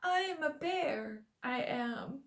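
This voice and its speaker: A slow, thick-voiced old bear, sleepy and earnest, speaking far above a normal register, as a woman puts him on. His words come out drawn-out and pleading. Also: sits high, animated, a bit bright, roomy sound, voice pushed up, exaggerated voice.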